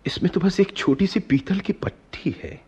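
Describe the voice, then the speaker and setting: An actor playing a lovesick young prince in a radio play, imperious yet earnest, with courtly theatrical diction. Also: male voice, high voice, moderate pace, darkish tone, slightly rough, full character voice. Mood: musing, then agitated. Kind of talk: Hindi-Urdu radio play acting.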